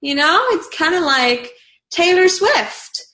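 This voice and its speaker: A woman's voice: very high voice, lively intonation, takes it slowly, bright, forceful tone. A woman from Bulgaria with a Bulgarian accent, chatting casually in an interview, bubbly and laughing often between fillers. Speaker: online community manager.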